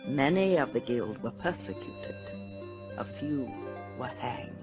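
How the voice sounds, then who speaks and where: A man narrating an audio drama as a solemn, measured storyteller of legend. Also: mid-range voice, animated, music in the background.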